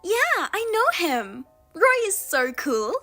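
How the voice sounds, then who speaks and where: A woman voicing a spiteful younger sister who steals husbands, with a high, sing-song, mocking manner. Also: sing-song, sharp tone, faint music behind, heavily acted. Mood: taunting. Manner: mocking, self-satisfied, drawn-out teasing. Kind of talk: narrated drama voice-over.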